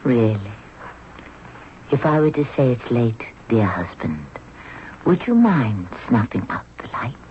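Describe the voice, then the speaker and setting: Imperious Egyptian queen, haughty, quick to punish, very animated delivery, vintage radio drama, muffled noisy recording. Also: very deep voice, heavily acted. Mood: cool, teasing. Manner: haughty, commanding. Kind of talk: 1970s radio drama acting. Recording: dull, noisy old radio broadcast recording.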